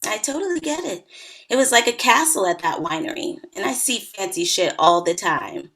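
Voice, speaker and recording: A woman's voice: mid-range voice, lively intonation, normal speed, fairly bright tone. An actress in her early thirties playing a wry, teasing, hard-drinking bridesmaid in a comedy table read. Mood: chatty, wry.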